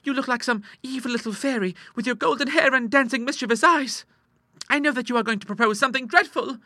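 A man voicing a gentle, anxious young lady in an audiobook, pleading and worried in manner. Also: extremely high pitch, fast, no room sound, clean studio sound, voice pushed up, heavily acted. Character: gentle, anxious young lady, reluctant soft-hearted daughter. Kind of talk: audiobook character acting.

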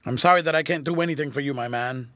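A man's voice: fairly high pitch, a little fast, a bit bright, no echo, close to an everyday voice. A man voicing a stern, hot-tempered old general with a formal, imperious manner in a storybook reading. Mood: stern, irritated. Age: older.

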